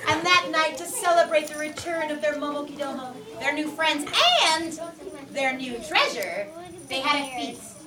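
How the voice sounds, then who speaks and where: A woman's voice: sits high, moderate pace, a crowd in the background. A woman leading a kindergarten puppet show, telling the story in a theatrical, sing-song way and prompting the children to join in. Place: classroom. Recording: echoey classroom, far from the mic, music behind.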